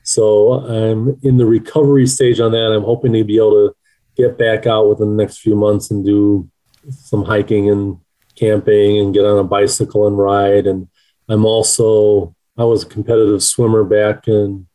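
Mellow-voiced man answering interview questions. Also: on the low side, flat delivery, normal speed, rough recording. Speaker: author being interviewed.

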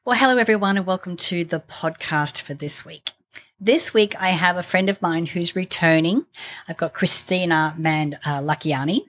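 A woman's voice: fairly low pitch, normal speed, a bit bright, soft background music. A woman hosting a podcast, greeting her guest in a chatty, affectionate way. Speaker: podcast host. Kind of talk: podcast interview and intro.

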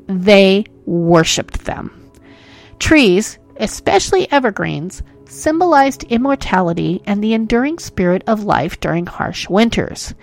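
A woman hosting a podcast who narrates history in a playful, self-mocking way full of jokes. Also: medium pitch. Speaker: podcast host.

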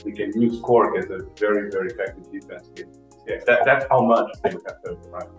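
A middle-aged man with a Portuguese accent explains things conversationally as an interview guest, in a deliberate way, repeating phrases for emphasis. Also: lowish voice. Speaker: cork industry representative, podcast guest. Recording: roomy, distant mic, faint music.

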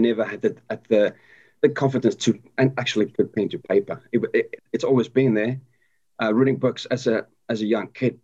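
A man's voice: lowish voice, a little mellow. A man from Africa, a guest telling his story in a casual interview, hesitant with many ums and restarts. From South Africa. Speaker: guest, army veteran. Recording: dull lo-fi sound.

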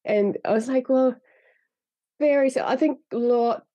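A woman's voice: high voice, normal speed, dry recording, highs cut off. A woman author from Finland whose first language is Finnish, chatting loosely with fillers and restarts. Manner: rambling, many fillers and restarts, laughs often. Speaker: author guest. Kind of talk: casual interview chat.

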